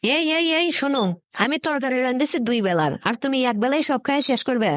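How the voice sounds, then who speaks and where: A woman's voice: high voice, expressive, fast, fairly bright tone, dry recording, clean studio sound. A woman playing a nagging, sceptical village housewife in a comic cartoon, questioning and exclaiming, with a northern Bangladeshi Bengali accent. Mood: nagging, exasperated.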